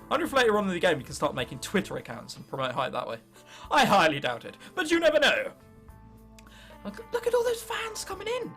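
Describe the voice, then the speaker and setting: High-pitched, animated young English man. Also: gravelly. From England. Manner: irreverent, sarcastic joking and muttering to the game. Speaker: gaming video host. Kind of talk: casual gameplay commentary.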